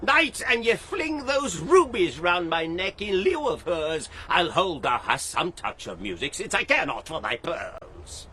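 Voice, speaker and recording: An actor playing a wild, witty, mocking court jester in an audio drama, declaiming archaic verse theatrically. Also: male voice, sing-song, unhurried, hardly any pauses, sharp tone, slight background noise, heavily acted. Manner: swooping between low musing and shrill cries.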